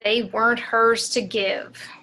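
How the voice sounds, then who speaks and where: A woman playing an angry, hostile woman in her thirties with a sharp, accusing manner in an amateur horror script reading. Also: fairly high pitch, little pitch movement, slow. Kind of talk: amateur table read of a horror screenplay.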